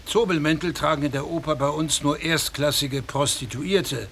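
An actor playing a blunt, gossipy, smug surgeon in a radio play, speaking in a brusque, dismissive way. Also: male voice, a little high, moderate pace, fairly bright tone, slight background noise. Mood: gossipy, amused.

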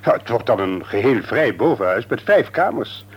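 A man's voice: mid-range voice, animated, normal speed, husky. Enthousiaste zakenman, beweeglijke hese stem. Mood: enthusiastic, proud. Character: enthusiastic, self-assured business owner and family man. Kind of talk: Dutch radio drama acting.

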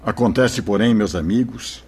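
A man's voice: fairly low pitch, normal speed, a little mellow, slight background noise, natural voice. A man playing a kindly spiritual guide who tells a story in an oratorical way, in a radio drama. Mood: benevolent, instructive.

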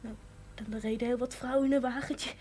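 Actress doing sick young woman stuck in bed, sharp tongue, high voice, tv drama, bit hissy. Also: steady tone, brisk, lightly acted. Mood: subdued, brooding. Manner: blunt, swearing, emotional. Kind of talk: naturalistic television drama acting. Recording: noisy old television broadcast audio.

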